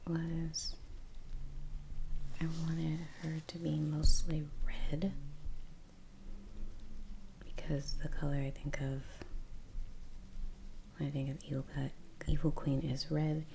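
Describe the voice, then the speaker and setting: A woman talking in hushed, mostly whispered ASMR style while painting, unhurried with long pauses. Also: sits low, gravelly, rough recording. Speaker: ASMR artist and video host. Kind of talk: soft-spoken ASMR chat while painting. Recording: steady heater hum in background. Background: heater hum, soft brush sounds.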